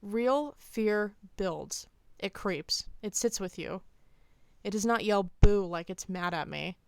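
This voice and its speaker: A woman delivering scripted opinion commentary as a video essayist, with a deadpan, emphatic way of phrasing things. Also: medium pitch, slow, clean tone, no room sound.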